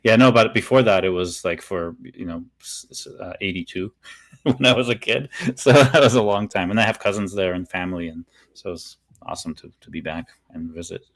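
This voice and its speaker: A man's voice: deep voice, brisk, fairly bright tone, no echo. A middle-aged man from Poland chatting casually with enthusiastic emphasis and informal wording. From born in Poland. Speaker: guest speaker and software practitioner. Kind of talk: casual chat.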